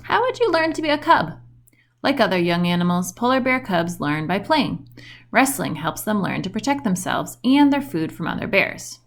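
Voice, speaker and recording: Expressive woman reading to children, creaky edges. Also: medium pitch, normal speed. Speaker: children's book reader. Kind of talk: read-aloud storytelling for young children.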